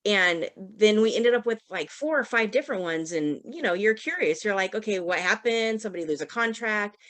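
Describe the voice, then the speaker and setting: A woman's voice: medium pitch, animated, a little fast, a bit bright. An American woman co-hosting a podcast, chatting casually and telling stories in an informal way. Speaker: podcast co-host, dispatcher. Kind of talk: casual chat.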